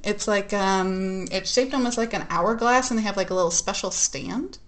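A woman's voice: medium pitch, moderate pace, fairly bright tone. A woman hosting a podcast interview, chatty and laughing often, with many fillers. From United States. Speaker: podcast host. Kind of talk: casual interview chat.

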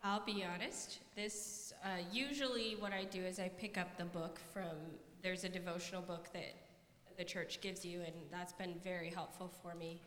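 A woman's voice: lowish voice, fairly even intonation, normal speed, a bit bright. Brief: young South Dakota woman, candid and unpolished, roomy hall reverb. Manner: candid, slightly self-conscious, hesitant fillers. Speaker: church member, student. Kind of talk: casual testimony on stage. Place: church sanctuary. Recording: echoey hall with faint room noise.

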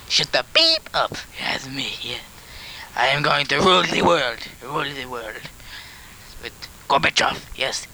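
A man's voice: mid-range voice, vocal fry, pushed and bright, a little hiss or hum, full character voice, rough recording. A man voicing a blustering Soviet villain who boasts about blowing things up, in broken put-on Russian-style English, rambling and giggling in an improvised home tape skit. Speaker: comedy character on a home tape.

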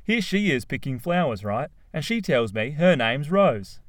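A man voicing an excitable, self-dramatizing young male poet who tells his story in a gushing, animated way. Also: on the high side, a little slow, dry recording, clean studio sound, pitched above the performer's own voice. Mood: excited.

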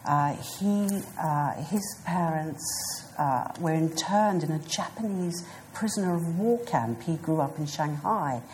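Low, slow-spoken woman in her fifties. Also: expressive, darkish tone, slight background noise. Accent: British English. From South Africa. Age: 50s. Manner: hesitant, thoughtful, many pauses and fillers. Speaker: author being interviewed. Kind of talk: reflective interview answers.

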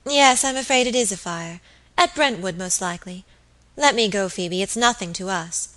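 A woman's voice: mid-range voice, moderate pace, a bit bright, lightly acted, low-quality recording. A woman voicing a haughty lady in an audiobook, cold, hard and sternly contemptuous. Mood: dismissive. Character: cold, haughty, fierce lady scolding her maid.

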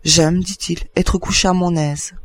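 A man's voice: high-pitched, steady tone, a little slow. A man voicing a proud, scornful captured outlaw in a storybook, defiant and unafraid. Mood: proud ease. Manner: thundering, scornful.

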